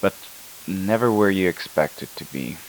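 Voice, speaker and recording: A man playing a weary, uneasy night-shift scientist, recording a halting, clinical yet introspective audio log for an analog horror drama. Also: low-pitched, animated, rough recording. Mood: hesitant, brooding. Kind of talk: scripted found-footage horror audio log. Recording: hissy analog tape with static.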